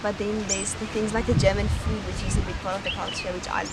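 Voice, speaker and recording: A woman's voice: mid-range voice, little pitch movement, fast, over loud music. Young South African woman with an accent, talking outdoors. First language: Afrikaans. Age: young adult. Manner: thoughtful, hesitant with frequent "um" and restarts. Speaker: university student. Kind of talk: casual interview. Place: outdoors in a sunny campus garden.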